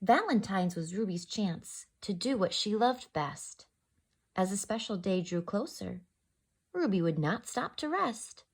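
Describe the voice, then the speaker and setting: A woman reads a picture book aloud for young children as their storyteller. Her delivery is slow and very animated in pitch, with some creak in the voice. She speaks directly to her little listeners. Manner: expressive, addresses young listeners with questions. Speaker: storyteller, host.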